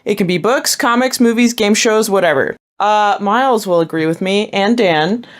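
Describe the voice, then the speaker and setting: A woman's voice: mid-range voice, normal speed. A woman co-hosting a podcast, casually reading listener questions aloud.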